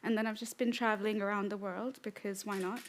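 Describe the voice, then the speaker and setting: A woman's voice: mid-range voice, steady tone, fast, slight background noise. Woman from Tanzania giving a stage talk. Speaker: conference speaker. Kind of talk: prepared talk with light humor. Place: conference stage in a hall.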